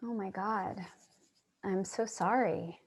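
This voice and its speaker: A woman acting a sympathetic, curious, lonely character in a staged play reading, asking gently and tentatively. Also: medium pitch, takes it slowly, husky. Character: sympathetic, curious, lonely listener named Charlie. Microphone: video call.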